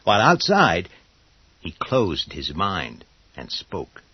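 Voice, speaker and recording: A man narrating a scripted horror story in a dramatic, deliberate manner. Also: deep voice, huge pitch swings, husky. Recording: dull audio with faint music.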